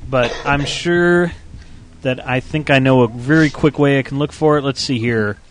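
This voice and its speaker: A man's voice: medium pitch, normal speed, slight background noise. A man hosting a live call-in gaming show, chatty and rambling with a self-deprecating manner. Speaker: radio show host. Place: in a game store studio.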